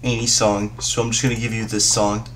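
A man's voice: lowish voice, flat delivery, fairly quick, a crowd in the background. A young man in casual chat on an online call, teasing and egging his friend on. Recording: very noisy online voice chat with clicks.

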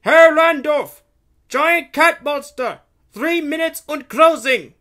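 Man putting on a high, panicked soldier. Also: slow pace, bright, forceful tone, exaggerated voice. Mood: alarmed. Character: panicked male gatekeepers and soldiers crying warnings.

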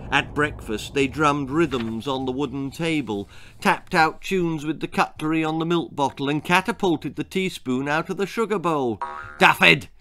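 A man's voice: on the high side, normal speed, a bit bright, faint music behind. A man in his sixties reading a grisly comic children's story theatrically, with emphatic, relishing articulation. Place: small indoor room lined with books and records.

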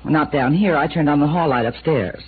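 An actress playing a sharp-tongued, defensive young widow in a 1950s radio drama, talking in a sarcastic, brassy way. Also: female voice, deep voice, breathy edge. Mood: guarded. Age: young adult. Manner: sarcastic, brassy, quick to take offense. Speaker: widow of a police chief. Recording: tinny old radio broadcast with hiss.

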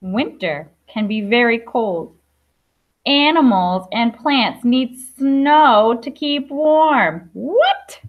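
A high, very lively woman's voice reads aloud to young children like a primary teacher. She takes her time and drops in chatty questions for the listeners. The sound is a slightly dull webcam recording. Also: extremely slow. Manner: animated, enunciated reading with questions to listeners. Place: indoor classroom.

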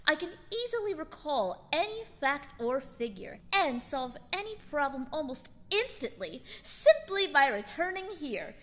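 A woman's voice: sits high, big pitch swings, unhurried, few pauses, fairly bright tone, long reverb tail, exaggerated voice. A woman voicing a boastful, pompous young boy aristocrat in a radio drama, with a theatrical manner.

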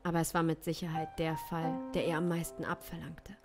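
A woman in her thirties improvising crime narration in a measured, serious way, close on a studio microphone. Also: low voice, level tone. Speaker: improvising audio-drama narrator. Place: small studio room. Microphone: close studio condenser mic. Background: faint music.

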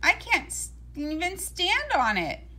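Woman doing a brash big boy, high-pitched. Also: wildly swooping pitch, takes it slowly, sharp tone, a little hiss or hum, heavily acted. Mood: pained. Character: big brash boy ballplayer, blunt and dramatic.

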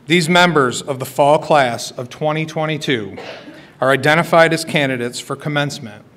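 A middle-aged man giving a formal, scripted ceremony address as a college administrator, measured in delivery, at a podium microphone on an auditorium stage. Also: fairly high pitch, fairly bright tone. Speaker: college administrator presiding at graduation.

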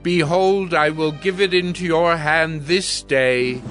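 An actor playing a solemn prophet delivering God's word in an oracular manner, in a dramatized audio Bible. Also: male voice, fairly high pitch, steady tone, takes it slowly, a bit bright, soft background music.

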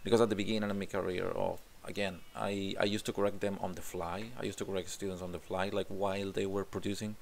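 A man's voice: sits low, flat delivery, fast talker, gravelly, hardly any pauses, a little hiss or hum. A man in his forties from Chile, speaking English with a Chilean Spanish accent, answers interview questions at home into a desk mic and often repeats words while he searches for them. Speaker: job applicant, English teacher. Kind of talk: self-recorded interview answers. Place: home room with guitars on the wall. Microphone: desk condenser mic in frame.

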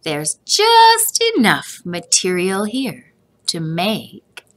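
A woman voicing a kindly old grandfather tailor in a storybook, musing slowly and announcing things with drawn-out delight. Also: lowish voice, huge pitch swings, full character voice. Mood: musing, pleased.

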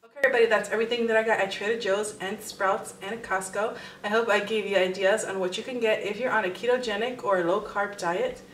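A woman's voice: mid-range voice, steady tone, fast talker, hardly any pauses, rough recording. A woman in her thirties talking casually and straightforwardly as a vlogger, recorded indoors at home. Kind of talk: casual grocery haul vlog.

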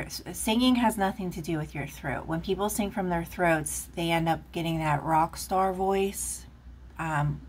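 A woman's voice: fairly low pitch, creaky, slight background noise. A woman giving a casual, rambling tarot reading, chatting with lots of fillers while recorded off camera at a table indoors. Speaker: tarot reader.

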